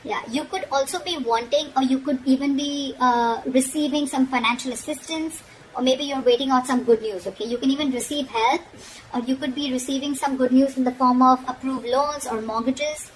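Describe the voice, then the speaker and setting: A woman's voice: sits high, fairly even intonation, moderate pace, poor audio. A woman giving an informal, frank tarot reading, speaking directly to the listener and often checking in as she admonishes. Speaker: tarot reader. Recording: noticeable background noise.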